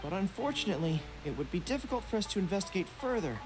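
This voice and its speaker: A man's voice: high-pitched, very animated, fast talker, over music, poor audio. A man voicing a young, courteous, dutiful general in a video game, speaking formally and seriously. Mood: earnest, businesslike.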